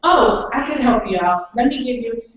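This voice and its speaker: A woman from Ecuador giving an earnest orientation talk to new scholarship recipients, with frequent pauses and "um"s. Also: fairly high pitch, expressive, fairly bright tone, lo-fi sound. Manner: earnest, plain-spoken, many "um"s. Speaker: scholarship program staff. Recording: echoey hall, speaker far from mic.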